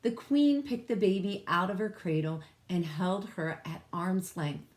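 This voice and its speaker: A woman teacher reading a picture book aloud to children, expressive and often putting questions to her listeners. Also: fairly low pitch, a little slow.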